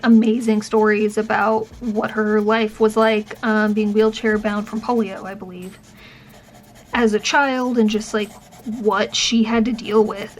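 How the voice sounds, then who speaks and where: A young adult woman chats to camera in an easy, rambling way, leaning on fillers and quick restarts. Her voice sits a touch high. A faint noise runs under the recording. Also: rough recording. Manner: chatty, many fillers and restarts. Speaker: vlogger and professor. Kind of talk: casual chat.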